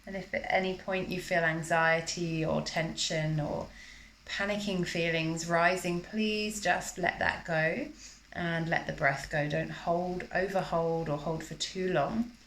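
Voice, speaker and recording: Lady yoga teacher guiding breathwork, calm n measured, lowish voice, echoey room. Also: a little hiss or hum. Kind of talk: guided breathwork instruction in a live yoga class. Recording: roomy, echoing live class recording.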